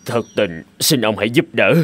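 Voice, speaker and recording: A man's voice: fairly low pitch, lively intonation, a bit bright. Polite weary refugee father. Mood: weary, pleading. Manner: polite, measured, pleading. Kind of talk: cartoon folk-tale acting.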